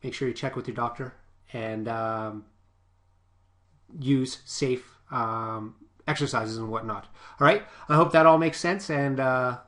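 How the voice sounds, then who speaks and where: Man, personal trainer, stuffy nose, sniffly, chatty advice, mid pitch. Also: measured pace, a bit bright. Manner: chatty, often adds "okay?" to checks. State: stuffy, sniffly from a nasal infection. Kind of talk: casual advice vlog.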